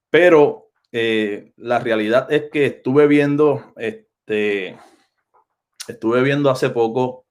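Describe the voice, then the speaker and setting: Rambling Puerto Rican pastor on a livestream. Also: male voice, mid-range voice. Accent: Puerto Rican Spanish. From Puerto Rico. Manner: rambling, repeats phrases and restarts often. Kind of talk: unscripted livestream talk to viewers. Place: home, Florida.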